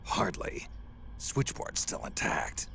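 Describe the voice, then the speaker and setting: An actor playing a gruff, world-weary old soldier in a video game, with a gravelly American growl and a terse, dry, grumbling delivery. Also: male voice, slow, a bit bright, a little hiss or hum. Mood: gruff, wary.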